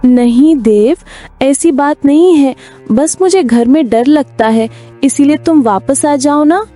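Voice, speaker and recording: High-pitched frightened young wife acted by a woman. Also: slow, warm tone. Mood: anxious. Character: frightened, pleading young married daughter. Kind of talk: dramatized story dialogue. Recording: background music under voice.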